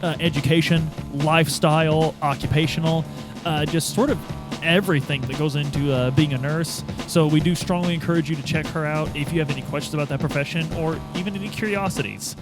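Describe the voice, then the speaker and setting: A man co-hosting a roleplay podcast as a player, talking casually in a laid-back, halting way. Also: a little high, fairly even intonation, music in the background. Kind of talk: casual chat.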